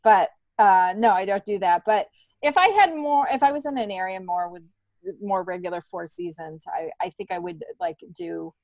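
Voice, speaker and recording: A woman's voice: medium pitch, normal speed, a little mellow, dry recording, poor audio. A woman in her fifties talking casually and candidly as a podcast guest, in a loose, rambling, joking way. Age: 50s. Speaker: podcast guest, stand-up comedian.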